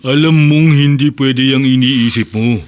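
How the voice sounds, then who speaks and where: A man's voice: medium pitch, measured pace, natural voice. A man playing a stern devout husband. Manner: stern, scolding. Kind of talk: radio drama acting.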